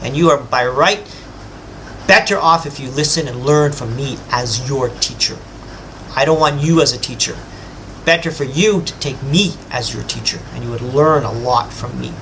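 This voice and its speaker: A man's voice: medium pitch, moderate pace, fairly bright tone, slight background noise, rough recording. A man giving an emphatic, lecturing rebuttal, speaking straight to his opponent and boasting about his own knowledge. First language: English. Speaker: self-styled religious teacher rebutting a scholar. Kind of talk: combative rebuttal monologue.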